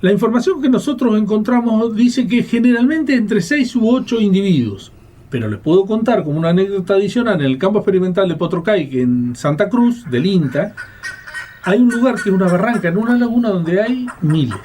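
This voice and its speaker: An Argentine man with a notably high voice talks as a radio host. His intonation moves around a lot as he explains things in a conversational way. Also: expressive, a little fast, dark tone. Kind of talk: conversational educational radio talk.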